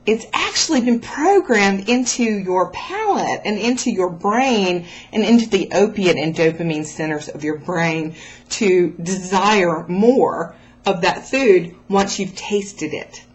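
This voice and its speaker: A woman's voice: medium pitch, expressive, slow pace, slightly rough, muffled recording. A woman talking casually and motivationally to her followers as a vlog host, addressing the listener directly with encouraging emphasis. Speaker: host of a raw food vlog.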